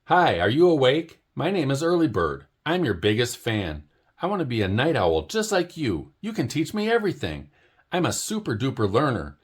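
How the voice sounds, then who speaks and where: A man voicing an eager, chatty young female bird in a storybook read-aloud, firing off excited questions. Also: medium pitch, normal speed, husky, voice pushed up.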